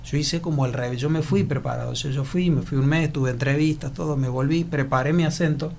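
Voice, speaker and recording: A man's voice: a little high, a little fast, vocal fry, a little mellow, a little hiss or hum. A man from Argentina with an Argentine accent, an actor answering interview questions in a reflective, rambling way with many restarts. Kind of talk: casual interview conversation.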